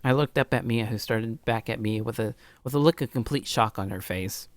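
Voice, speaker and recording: A man's voice: a little fast, no room sound. A man livestreaming a let's play, reading story narration aloud with casual asides, often stumbling and rereading phrases. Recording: low-quality stream audio, music behind. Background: music.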